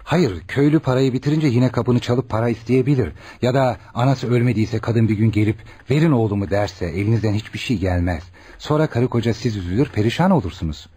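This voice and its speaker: An actor playing an earnest, caring young male doctor in a Turkish radio play, with an expressive, questioning manner. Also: medium pitch, brisk, few pauses, slightly rough, lightly acted. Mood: concerned. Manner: expressive, probing, reassuring. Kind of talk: Turkish radio theatre acting.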